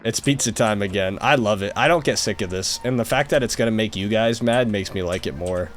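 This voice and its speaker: A man's voice: lowish voice, brisk, faint music behind. A man hosting a podcast in casual chat, joking and laughing often. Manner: jokey, laughs often, mock-pronounces foreign phrases. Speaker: podcast host.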